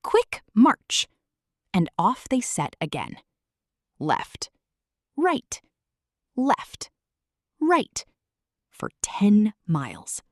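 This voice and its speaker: Animated, slow-paced woman reading a storybook. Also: mid-range voice, dry recording, clean studio sound. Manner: expressive, sing-song. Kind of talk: children's story read-aloud.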